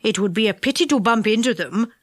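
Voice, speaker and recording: A helpful storybook train driver, an easygoing man, voiced by a woman. He explains in a measured, instructive way, close and dry on the recording. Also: on the high side, lively intonation, no room sound. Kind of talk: children's storybook character reading.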